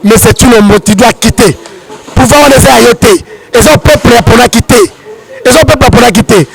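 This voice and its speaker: Congolese male political commentator, strikingly high pitch with crackly fry, emphatic and repetitive delivery. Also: fairly quick, a crowd in the background. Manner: emphatic, repeats points.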